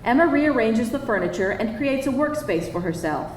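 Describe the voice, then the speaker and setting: A woman's voice: a little high, lively intonation, moderate pace. Woman narrator reading screenplay, roomy and distant. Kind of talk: reading screenplay stage directions aloud. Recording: echoey live hall, distant, faint noise.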